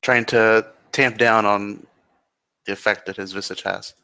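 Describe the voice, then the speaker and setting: A man narrates a tabletop roleplaying scene as he makes it up. His voice sits a little low yet sounds bright and forward. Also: fairly even intonation, measured pace, clean tone. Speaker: roleplay game player. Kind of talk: improvised third-person narration of a tabletop roleplay.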